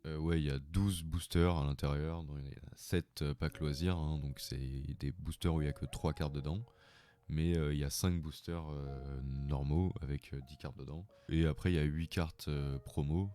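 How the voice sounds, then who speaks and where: A man's voice: rumbling low, fairly even intonation, moderate pace, dark tone. A man with a cold, talking in an informal, rambling way in a casual video tutorial. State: has a cold. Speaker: vlogger. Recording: music playing behind the voice.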